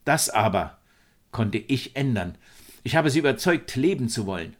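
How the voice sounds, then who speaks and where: A man's voice: expressive, normal speed, natural voice. A man voicing a serene, powerful being, part tree and part young woman, speaking solemnly and reassuringly in a story. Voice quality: deep, powerful.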